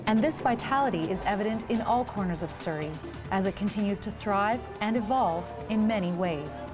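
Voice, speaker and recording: A woman reporter reading scripted voice-over narration for a travel segment in a smooth, even delivery. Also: mid-range voice, normal speed. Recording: music bed behind narration.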